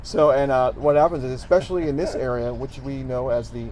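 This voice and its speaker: A man hosts an interview in a casual, joking way, often pausing on uh and um. His pitch is ordinary for a man. A faint background noise runs under his voice. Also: darkish tone. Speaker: talk show host.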